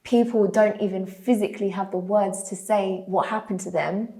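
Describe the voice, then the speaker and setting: A woman's voice: medium pitch, moderate pace. A British woman hosting a conversational podcast, talking animatedly and acting out quoted voices. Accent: British English. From United Kingdom. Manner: animated, acts out quoted voices, laughs easily. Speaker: podcast host.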